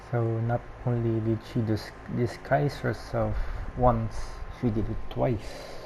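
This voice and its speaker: A woman's voice: rumbling low, creaky, background noise. A woman giving casual, musing gameplay commentary with murmured reactions. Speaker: gameplay streamer. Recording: game music behind the voice.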